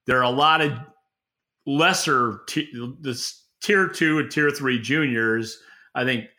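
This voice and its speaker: Bright, forceful man giving market commentary. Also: medium pitch, unhurried. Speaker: podcast co-host and industry analyst. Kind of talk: unscripted expert market commentary.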